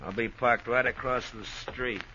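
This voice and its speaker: An actor playing a menacing, smooth-talking armed tough in a 1950s radio drama. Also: male voice, medium pitch, steady tone, a little slow, lightly acted. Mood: mock-polite menace. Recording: muffled, noisy 1950s radio recording.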